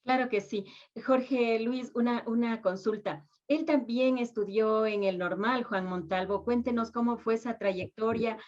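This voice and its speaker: A woman's voice: fairly high pitch, a little slow, hardly any pauses, a little mellow. A young woman, hosting a conversational interview with polite, formal questions. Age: young adult. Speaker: interviewer, host. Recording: dull, lo-fi sound.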